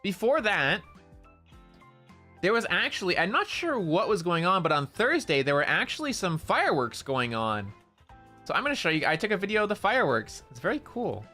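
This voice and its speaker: A young man with a nasal voice, chatting loudly and excitably on a casual stream, full of slang. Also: sits high, normal speed, faint music behind.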